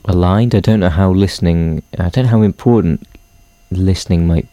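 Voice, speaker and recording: A man musing reflectively and hesitantly, often pausing to search for words. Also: deep voice, mellow, no room sound. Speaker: interviewee.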